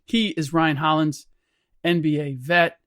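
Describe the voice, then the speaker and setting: A middle-aged man hosting a podcast in casual chat, his voice hoarse and a little rough, rambling with restarts and small chuckles. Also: a little high, steady tone, measured pace, a bit bright, no room sound, studio quality. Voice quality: slightly rough voice. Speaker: podcast host.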